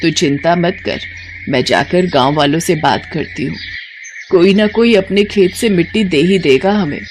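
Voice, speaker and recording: A woman voicing a poor, worried elderly village mother-in-law who talks in a weary, plaintive, blunt way in an animated story. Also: fairly low pitch, normal speed, close to an everyday voice. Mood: reassuring. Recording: noticeable background noise.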